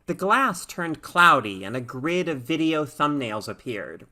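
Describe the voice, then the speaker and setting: A woman reading a short story aloud in a measured, expressive narrating style. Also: low voice. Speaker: story reader.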